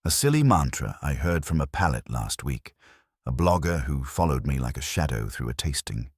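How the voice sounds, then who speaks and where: Man as calm male spice seller, measured, exacting, low husky voice, mystery audiobook. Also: no room sound, studio quality, full character voice. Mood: dry, disdainful.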